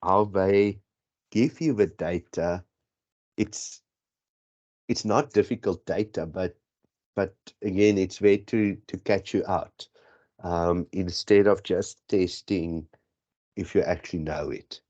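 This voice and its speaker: A man's voice: low voice, slow, long pauses, a little mellow. A man with a South African accent whose first language is Afrikaans, tutoring statistics for an exam in a rambling, self-correcting way, on dull lo-fi audio. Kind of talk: exam prep lesson.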